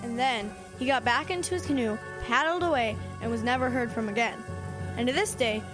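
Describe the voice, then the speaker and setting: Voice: medium pitch, a little fast, music in the background, natural voice, poor audio. A young performer playing a brash, sarcastic kid who talks bluntly and in slang in an audio drama. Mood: eager storytelling. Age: child. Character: brash, sarcastic wisecracking kid, eager storyteller. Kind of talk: youth audio drama acting.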